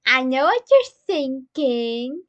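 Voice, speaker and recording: Woman acting smug, slow-talking young man, teasing. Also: sits high, big pitch swings, a bit bright, heavily acted. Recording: dull lo-fi recording.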